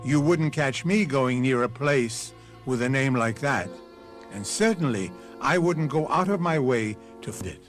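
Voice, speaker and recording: An actor playing an ominous, moralizing storyteller who hosts and narrates a radio mystery. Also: male voice, medium pitch, expressive, a little slow, lightly acted. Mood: wry, ominous. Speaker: host and narrator. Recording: faint music behind narration.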